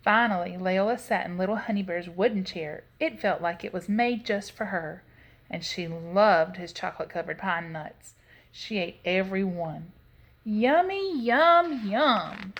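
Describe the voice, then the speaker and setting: A woman storyteller giving an expressive read-aloud narration of a children's story. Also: fairly low pitch, moderate pace.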